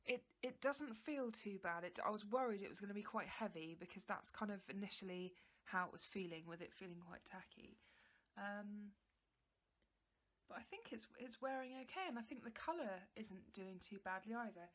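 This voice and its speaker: A woman's voice: medium pitch, normal speed, a bit bright. A woman vlogger giving a casual, chatty and understated makeup review to the camera in an informal way.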